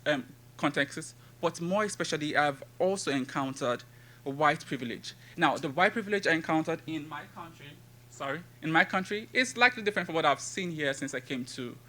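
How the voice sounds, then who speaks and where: Bright-voiced Nigerian man reading poetry. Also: a little high. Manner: measured, rhythmic recitation with listed phrases. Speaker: conference speaker and poet. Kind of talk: academic talk with poetry reading.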